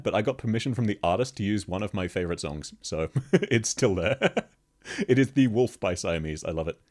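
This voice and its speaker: A man with a very deep bass voice, chatting casually with viewers on a live stream and laughing often. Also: darkish tone. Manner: laughs constantly, chatty, answers chat questions. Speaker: VTuber streamer. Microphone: streaming microphone, level shifts as he moves.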